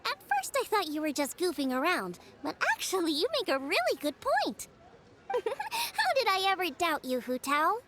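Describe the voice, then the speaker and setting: Eager, high, very animated girl cook. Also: moderate pace, background noise, full character voice. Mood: eager, friendly. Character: earnest, upbeat young girl cook, eager to learn. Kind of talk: video game character dialogue.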